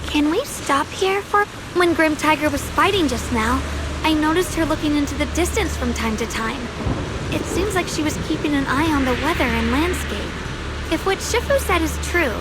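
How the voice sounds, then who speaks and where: Game role: young female sword master. High voice, earnest, solemn and resolute, over loud music. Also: expressive, normal speed, low-quality recording. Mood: earnest, pensive. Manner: earnest, solemn, formal phrasing. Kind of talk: dramatic game voice acting.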